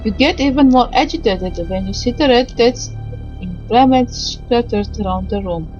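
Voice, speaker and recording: This is a woman with a fairly high voice, reading a visual novel aloud as she plays. Her delivery is halting, with stumbles, pauses and little asides between the narrated lines. Game music plays clearly behind her. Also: a little mellow, muffled recording.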